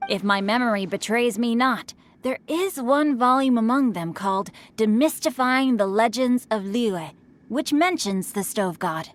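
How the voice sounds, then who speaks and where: Bookish young master, boyish, lightly high pitch, courteous and ornate phrasing, fantasy game. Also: female voice, expressive, unhurried. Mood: courtly. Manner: courtly, eloquent. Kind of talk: video game voice acting.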